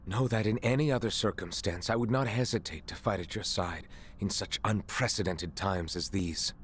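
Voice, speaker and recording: Deep, grave imprisoned nobleman, voiced by a man. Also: slight background noise, lightly acted. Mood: apologetic, resolute. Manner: formal, archaic, measured speech. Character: grave, remorseful imprisoned nobleman warrior, formal and resolute. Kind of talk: video game cutscene acting.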